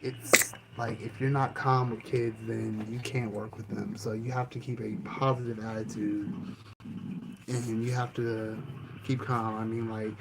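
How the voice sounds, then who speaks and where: A man hosting his own channel, answering questions about himself in a calm, easygoing way, with many ums and restarts. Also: fairly low pitch, unhurried, vocal fry. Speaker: channel host and author. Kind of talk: casual self-interview answering questions. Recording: noticeable background ambience noise.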